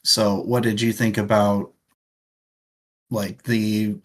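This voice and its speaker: A man's voice: on the low side, little pitch movement, slow pace. A man hosting a casual livestream book discussion, searching for words as he goes. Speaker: show host, reads viewer comments.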